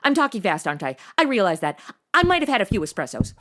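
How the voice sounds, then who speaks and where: A woman's voice: mid-range voice, big pitch swings, fast, a bit bright. A woman playing a chatty, cheeky, jittery modern young woman in a comedy audio drama, slangy and over-caffeinated.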